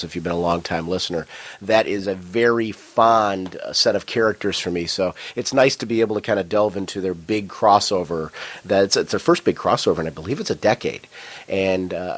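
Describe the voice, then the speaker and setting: A man co-hosting a comics podcast, talking casually and reading shop promotions, slightly nasal from a cold. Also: fairly low pitch. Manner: enthusiastic, chatty. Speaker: podcast co-host. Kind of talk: casual chat and ad reads.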